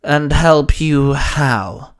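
A man voicing a stern, stubborn sorcerer under a curse in a narrated story, grunting out drawn-out words. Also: on the high side. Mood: suspicious.

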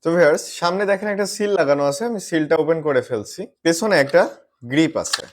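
A man presenting a product review to viewers as a gadget reviewer, mixing in English words and phrases. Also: fairly high pitch.

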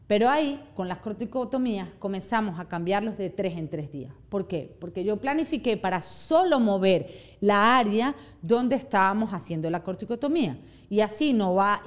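A woman in her thirties, an orthodontist, giving a live seminar to a small room and often checking in with "¿ok?". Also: mid-range voice. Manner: animated, gestures a lot, checks in with "¿ok?". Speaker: orthodontist lecturer.

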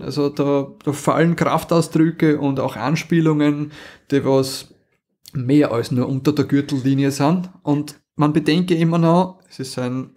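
A man, co-hosting a podcast in casual chat, laughing often as he recounts a story. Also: on the high side. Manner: lively, laughs often, restarts sentences. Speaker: podcast co-host. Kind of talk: casual chat about audio dramas.

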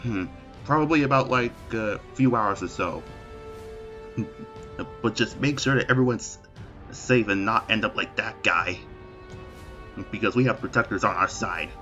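A man's voice: on the low side, unhurried, long pauses, bright, forceful tone, highs cut off, faint music behind. A man playing a reluctant, offhand president in an improvised comedy parody dub, speaking in a casual, hesitant way.

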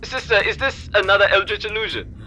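Male actor as excitable teasing companion, fantasy audio drama, exaggerated, fairly high, sharp forceful tone. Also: a little fast, faint music behind. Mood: mocking, amused. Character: mocking, excitable, playful male adventuring companion. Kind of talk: comedic fantasy audio roleplay.

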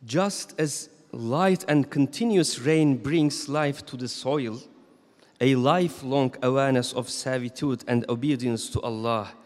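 A man in his thirties giving a Friday sermon as imam, reading from notes in a measured, solemn way at a stand microphone in a large mosque hall. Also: mid-range voice, darkish tone. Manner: measured, solemn reading with slow emphatic phrases. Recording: long reverb of a mosque hall.

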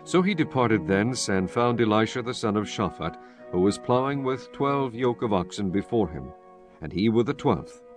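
Casting: man with a fairly low voice, solemn, measured scripted Bible narration over faint music. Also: animated. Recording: music bed under the voice. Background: background music.

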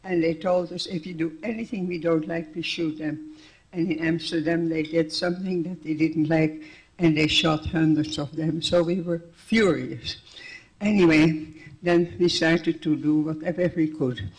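Older woman with a Dutch accent, husky. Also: low voice, steady tone, normal speed, darkish tone, low-quality recording. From Netherlands. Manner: plainspoken, restarts sentences, wry. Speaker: guest speaker. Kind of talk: personal testimony to an audience.

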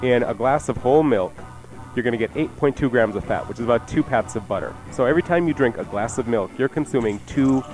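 Guy radio chef, talks fast, casual no-nonsense cooking tips, music under him. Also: medium pitch, expressive. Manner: emphatic, matter-of-fact instruction. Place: radio studio.

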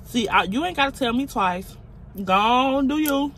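A woman's voice: high-pitched, lively intonation, normal speed, a bit bright, a little hiss or hum. Blunt young woman vlogging in a car. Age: 20s. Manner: blunt, outspoken, chatty. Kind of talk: casual talk to camera. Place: parked car. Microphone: phone camera on dashboard.

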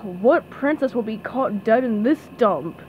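A woman's voice: sits high, very animated, unhurried, highs cut off, a little hiss or hum. A woman voicing a snobbish, gloomy male squid from a cartoon storybook, haughty and easily exasperated. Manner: haughty, condescending.

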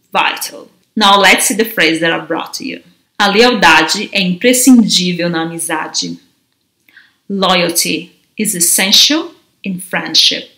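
Female teacher in her 30s, bright tone, unhurried and gentle. Recorded in a bare, echoey room. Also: fairly low pitch. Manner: gentle, enunciates each word slowly. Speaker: language teacher. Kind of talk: slow, careful teaching to camera. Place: large, bare indoor room. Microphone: camera mic at desk distance. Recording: roomy echo of an empty room.

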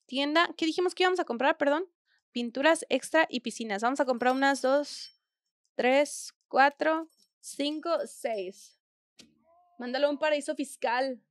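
A woman's voice: high-pitched, a little slow, dry recording, clean studio sound. A young woman with a Mexican accent, chatting casually and thinking aloud while she plays a game on stream. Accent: Mexican Spanish. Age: young adult. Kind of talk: casual gameplay commentary. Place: small indoor room at home.

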